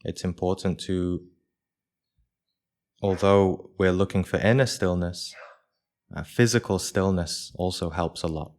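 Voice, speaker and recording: A man leading a live guided meditation for a group as its teacher, speaking in measured short phrases with pauses between them. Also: deep voice, fairly even intonation, a little mellow.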